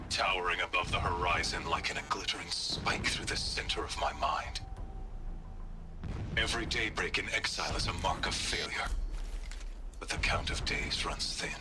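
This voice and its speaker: An actor playing a stern, fanatical warrior priest in exile, speaking a solemn, formal monologue in a video game. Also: male voice, low-pitched. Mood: bitter resolve.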